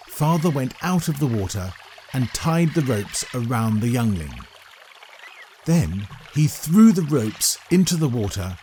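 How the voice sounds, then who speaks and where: A man narrating a scripted children's story in a deliberate, expressive storytelling manner. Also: mid-range voice, a little hiss or hum.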